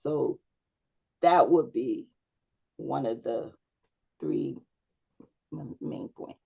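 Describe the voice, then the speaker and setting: A woman's voice: extremely low pitch, extremely slow, vocal fry, warm tone, breathy edge, lo-fi sound. A woman public speaking instructor giving one-on-one feedback to a student over a video call, often checking in with "right?". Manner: coaching, checks understanding with frequent "right?".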